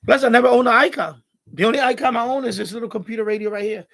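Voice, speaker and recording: High-pitched, creaky-voiced man chatting casually. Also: a bit bright. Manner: rambling, halting, thinking aloud. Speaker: live stream host. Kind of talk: casual live stream chat.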